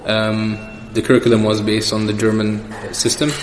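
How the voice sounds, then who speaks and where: Low, even-toned young man in an echoey room. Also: normal speed. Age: young adult. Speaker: interview guest. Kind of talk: casual personal interview. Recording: echoey reverberant room with background noise.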